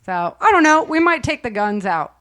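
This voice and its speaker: A woman's voice: sits high, very animated, moderate pace, fairly bright tone, no room sound, studio quality. A woman from the United States hosting a casual group chat in an informal, chuckling manner. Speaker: host of a performer group chat.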